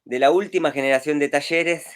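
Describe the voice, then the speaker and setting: A man's voice: a little high, normal speed, smooth. An adult man from Rosario, a street clown talking casually as a guest over a video call, chuckling often. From Rosario, Argentina. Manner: relaxed, chuckles often, rambling.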